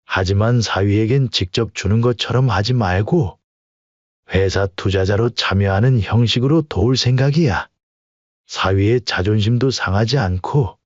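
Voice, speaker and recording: A man plays a steady older father in a storybook audio drama, his voice low and unhurried. The sound is dry and slightly dull, with no room around it. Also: dry recording, lightly acted. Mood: calm, reassuring. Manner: measured, fatherly, speaks in plain statements. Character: calm older father backing his son-in-law.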